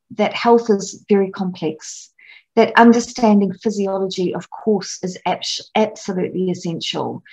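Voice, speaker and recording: A middle-aged woman from New gives an online conference lecture over slides, measured and explanatory, with frequent ums and restarts. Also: on the low side, creaky, a little mellow, breathy edge, dull audio. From New Zealand. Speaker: clinical academic presenting at a conference.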